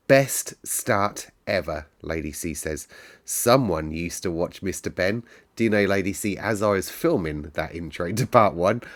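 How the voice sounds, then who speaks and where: A man hosting a story read-aloud, speaking in a conversational, musing way with listeners. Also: sits low. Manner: musing, conversational, thinking out loud. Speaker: storytelling host. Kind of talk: story read-aloud and casual chat with viewers.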